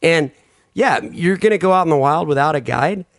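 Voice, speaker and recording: A man in his mid thirties with a slightly high voice co-hosts a comedy podcast. He plays along and keeps asking the others questions, recorded dry and close. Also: animated, normal speed, dry recording. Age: 30s. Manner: playful, questioning, fond of doing silly voices. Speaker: podcast co-host. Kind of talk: casual comedy podcast chat.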